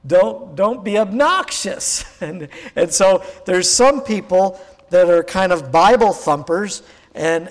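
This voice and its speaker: Man, pastor, hoarse croaky voice, slow unhurried sermon, reverberant hall. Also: high voice. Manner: unhurried, conversational preaching with frequent fillers.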